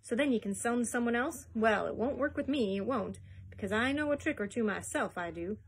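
A woman reading aloud, voicing a small, thin, sneering man who mocks the family, in a loud and sly way. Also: mid-range voice, normal speed. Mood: mocking.

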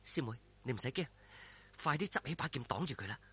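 Man as a hushed, clever young heroine. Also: mid-range voice, animated, quick pace, husky, exaggerated voice. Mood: reassuring. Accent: Hong Kong Cantonese.

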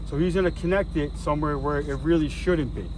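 A man's voice: on the high side, steady tone, quick pace. A man on a sandy beach trail at night, earnestly explaining the risks of a tow. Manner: earnest, coaching, shouts urgent commands. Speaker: bystander helping with the tow. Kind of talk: casual outdoor talk. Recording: loud outdoor noise, phone mic.

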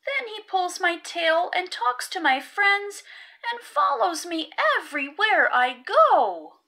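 A high-voiced little raccoon boy from a storybook, acted out by a woman. He is plaintive and anxious, a jealous big brother who complains in swooping, childlike tones. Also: very animated, slow, vocal fry, sharp tone, heavily acted. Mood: whiny complaint.

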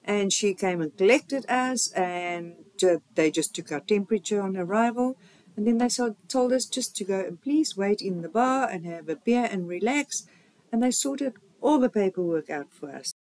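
A woman's voice: mid-range voice, expressive, normal speed, a little mellow, poor audio. A woman with a South African accent giving chatty, informal voice-over narration for a travel vlog.

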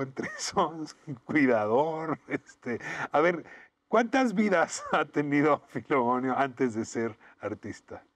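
A middle-aged man, hosting a studio interview with measured, reflective questions. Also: medium pitch, no echo. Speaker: interview host. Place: indoor TV studio set. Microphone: lapel.

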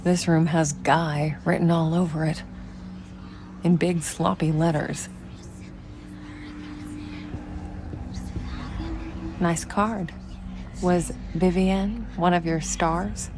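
Young female game heroine, lowish voice, slow pace, dry wry inner monologue, ambient noise behind. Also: fairly even intonation. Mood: curious, wry. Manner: dry, wry, musing to herself. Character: wry, determined young woman investigating alone. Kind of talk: video game acting, murmured inner monologue.

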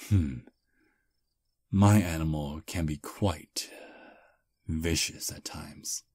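Male VA playing a charming old vampire on a first date: very low, unhurried, soft and slightly halting, close dry ASMR mic. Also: no echo, full character voice. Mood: easygoing. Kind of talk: ASMR roleplay.

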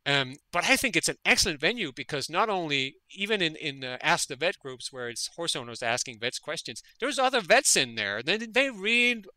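A man's voice: fairly high pitch, very animated, moderate pace, hardly any pauses, bright, forceful tone, no echo. A man from Denmark, a veterinary parasitologist, speaking as a guest in an interview. He talks earnestly and often repeats words as he gathers his thoughts. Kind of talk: conversational interview.

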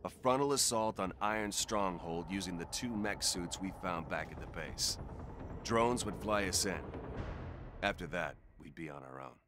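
An actor voices a male soldier looking back on a mission in a video game cutscene. The voice is low, grave and measured, delivered as scripted narration. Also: background noise, lightly acted. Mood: grave, resolute. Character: weary soldier narrating a desperate assault plan, male.

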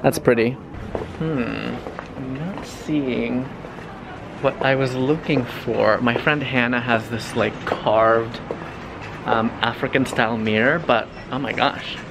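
A young man talks straight into a camera he holds at arm's length, chatty and excitable, gushing over every find. His voice sits at an everyday pitch, and clear background noise from the car and the busy store is always there behind him. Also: slow pace. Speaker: vlogger. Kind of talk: casual vlog chat to camera. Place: car, showroom and store, indoors. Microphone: handheld camera at arm's length.

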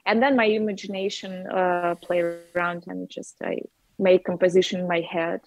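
A woman's voice: on the low side, little pitch movement, normal speed, no echo, rough recording. A woman in her thirties with a Russian accent, chatting as the guest on a video call interview through wireless earbuds, with lots of fillers and laughter. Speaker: artist guest. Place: bright room with a wooden ceiling.

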